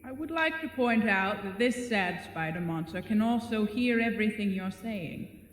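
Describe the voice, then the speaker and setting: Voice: mid-range voice, lively intonation, a bit bright, lightly acted. Casting: actor to play bored male giant spider, genteel and dry, audio drama, heavy cave echo. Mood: dryly questioning. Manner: polite, wry, measured phrasing. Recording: echoey cavern-like reverb, faint noise.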